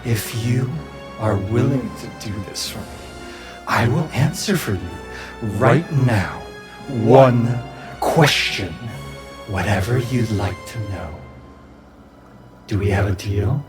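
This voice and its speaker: Man voicing a slow, menacing fox spirit. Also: lowish voice, animated. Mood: coldly bargaining. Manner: slow, drawn-out, theatrical delivery with sudden outbursts. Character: menacing, cajoling fox spirit patron, sweetly threatening. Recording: music bed under the voice.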